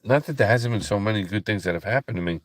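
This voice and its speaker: A tired man hosting a late-night ministry livestream, talking slowly and softly with a calm, relaxing voice, with many pauses and restarts. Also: lowish voice, dry recording. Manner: slow, halting, soft-spoken, many fillers and restarts. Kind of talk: casual late-night livestream chat with viewers.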